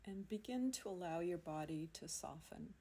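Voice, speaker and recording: A woman leading a guided yoga nidra meditation in a soothing, unhurried manner, leaving long pauses between phrases. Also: lowish voice, expressive, mellow, slightly rough. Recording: amateur recording with faint background noise.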